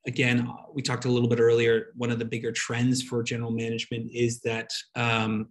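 Male online presenter, mid-pitched, conversational explainer delivery for a slide webinar on a video call. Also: monotone, brisk.

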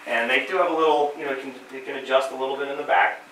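A bright-toned middle-aged man, a little nasal from a cold, talks a small group through old gear in a friendly, halting way full of fillers. He is picked up by a camera across a small, echoey library room. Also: mid-range voice, fairly even intonation. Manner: friendly, many fillers and repeated words. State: has a cold, slightly nasal. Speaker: living history presenter. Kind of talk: informal history talk to a small audience. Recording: roomy, distant camera sound.

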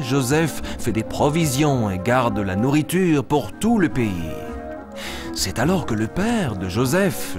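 Eager young lion cub, animated storyteller for a preschool puppet show, music underneath. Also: male voice, medium pitch. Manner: expressive, simple and clear telling. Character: eager young boy lion, cheerful storyteller of Bible tales. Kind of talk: animated storytelling for small children.

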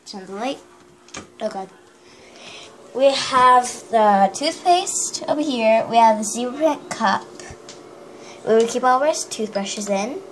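Young woman, rambling home tour, unhurried talk, fairly high voice, handheld phone, hissy background noise. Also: expressive. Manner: rambling, giggly, chatty. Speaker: vlogger giving a home tour. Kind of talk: casual home tour narration. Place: small house, kitchen and bathroom. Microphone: handheld phone camera. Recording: noisy home phone video.

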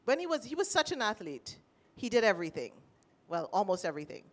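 A middle-aged woman with a Trinidadian accent, sharing a personal story at a panel with animated, emotional delivery, speaking into a handheld mic. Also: mid-range voice, quick pace. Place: panel stage in a large indoor room.

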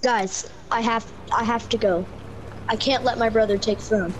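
A woman's voice: fairly high pitch, fairly even intonation, moderate pace, a little hiss or hum, rough recording. A woman playing along casually in an improvised online game roleplay.

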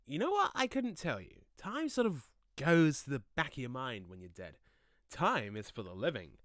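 A man's voice: mid-range voice, sing-song, normal speed, a bit bright, no echo, clean studio sound. A man acting in an audio play as a jokey, laid-back ghost with a casual, slangy, rambling way of talking. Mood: chatty, wistful. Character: chatty, jokey young male ghost, laid-back slacker.

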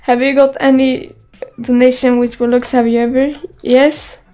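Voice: high-pitched, level tone, normal speed, mellow. A teenage streamer, a native Swedish speaker, chatting casually in scattered asides on a livestream. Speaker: game streamer. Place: small room indoors at a desk. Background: music, keyboard typing.

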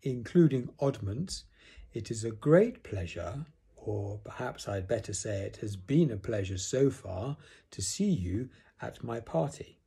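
A man's voice: lowish voice, animated, a little slow, vocal fry, mellow, breathy edge, full character voice. A man voicing a self-important, gloomy old donkey from a storybook, pompous, sarcastic and put-upon. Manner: pompous, ponderous speechifying.

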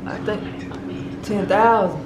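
Prank caller, hesitant and pleading, medium pitch, recorded in a very noisy, reverberant lounge. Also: slow pace. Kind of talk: phone prank acting, pleading. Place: indoor lounge with a group of people. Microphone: camera mic a short distance away, speaking into held phone. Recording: roomy, noisy camera recording.

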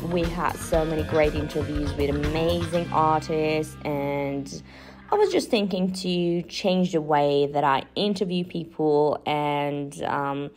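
Low-voiced host over soft music. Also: female voice, slow pace. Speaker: podcast host. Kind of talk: scripted podcast intro.

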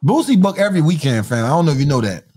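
A man's voice: a little high, big pitch swings, rapid-fire, slightly rough. A man co-hosting a podcast, arguing insistently in casual banter and tacking "fam" onto his points. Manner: loud, insistent, keeps saying "fam". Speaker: podcast co-host. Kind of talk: casual argumentative chat.

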